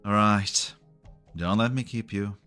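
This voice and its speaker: A man's voice: lowish voice, slow pace, faint music behind. A man playing an eager, polite character in a game fan dub. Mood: eager, flustered. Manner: animated, polite.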